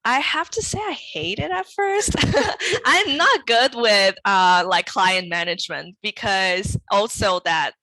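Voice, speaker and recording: A woman's voice: medium pitch, slow, pushed and bright, dry recording, rough recording. A young woman guest in her twenties, not a native English speaker, chatting hesitantly with many fillers on a video call over a headset mic. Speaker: guest, web designer. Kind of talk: casual interview chat. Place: small room at home. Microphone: headset with boom mic, video call.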